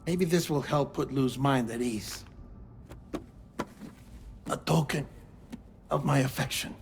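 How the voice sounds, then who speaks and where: An actor playing an earnest, caring official who explains things deliberately, with dramatic pauses, in a video game cutscene. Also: male voice, medium pitch, gravelly, background noise. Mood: gentle, warm. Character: earnest, measured male official, confiding and caring, adult. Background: background music.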